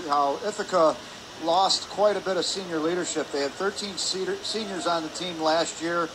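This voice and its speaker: A man giving conversational color commentary at a live hockey game. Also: sits high. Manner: conversational analysis with fillers. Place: indoor ice rink. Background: loud arena ambience noise.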